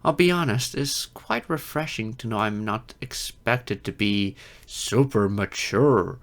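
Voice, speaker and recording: A man's voice: fairly low pitch, measured pace. A man playing an insecure, geeky adult silver fox in an audio roleplay, hesitant, stammering and self-deprecating. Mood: relieved, sheepish. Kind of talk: ASMR-style audio roleplay acting.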